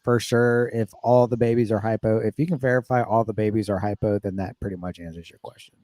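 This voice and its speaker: A man's voice: on the low side, fairly even intonation, dark tone, dry recording. A man co-hosting a casual podcast, teasing and arguing with his friends and often laughing. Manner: teasing, argumentative, quick to laugh. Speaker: podcast co-host. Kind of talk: casual banter among reptile keepers.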